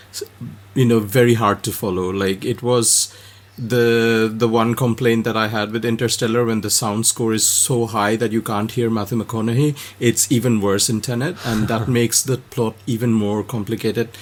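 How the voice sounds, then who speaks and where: A man in casual podcast talk. He pauses and hesitates often, filling the gaps with "uh", and his voice sits at a middling pitch. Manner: hesitant, many "uh"s. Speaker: podcast host.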